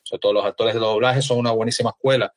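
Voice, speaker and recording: A man's voice: medium pitch, quick pace. Easygoing man with a radio-like voice. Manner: easygoing, animated, radio-announcer-like delivery. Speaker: moderator of a social audio room. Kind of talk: casual chat.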